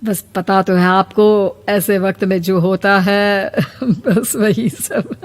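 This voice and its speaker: A woman's voice: mid-range voice, fairly even intonation, takes it slowly, lightly acted. A woman voicing a sentimental, needy older father-in-law in a cartoon moral story. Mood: sheepish. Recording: long reverb over background music.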